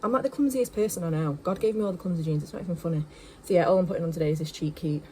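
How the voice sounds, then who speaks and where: A woman's voice: fairly low pitch, racing, warm tone, slight background noise. A young woman with a Yorkshire accent, chatting casually to her phone camera at home, rambling with lots of fillers and poking fun at herself. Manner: rambling, filler-heavy, self-deprecating. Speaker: vlogger. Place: home, kitchen then bedroom.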